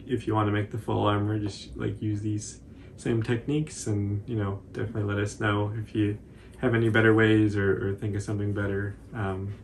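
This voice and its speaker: A man's voice: fairly low pitch, level tone, brisk, hardly any pauses. A man, chatting casually and modestly through a tutorial. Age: 20s. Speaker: cosplay channel host. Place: small room indoors on a couch. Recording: faint background noise.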